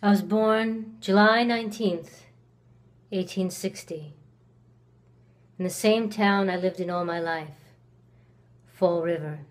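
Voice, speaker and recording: A woman performing a dramatic monologue as a cold, reclusive, resentful woman accused of murder in the 1890s, with deliberate pauses. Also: on the low side. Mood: cold, somber. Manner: slow, deliberate delivery with long pauses.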